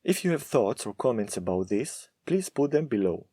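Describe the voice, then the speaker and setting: A man teaching a Hebrew lesson, speaking slowly and deliberately with firm emphasis. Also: medium pitch, sing-song, mellow, no room sound, clean studio sound. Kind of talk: scripted lesson to camera.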